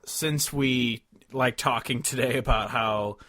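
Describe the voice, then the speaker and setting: A man's voice: mid-range voice, slow, sharp tone, no echo. A man chatting casually and informally as a podcast host, swearing freely as he talks about music. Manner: profane, informal.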